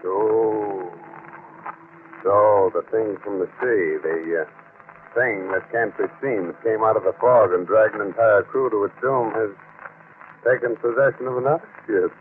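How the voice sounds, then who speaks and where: A macabre, taunting mystery host, played by a man, with a low voice and a theatrical 1940s radio delivery. The sound is narrow and tinny with light noise. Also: measured pace, close to an everyday voice. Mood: ominous. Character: sinister, teasing horror-show host taunting listeners. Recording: tinny, noisy old-time radio recording.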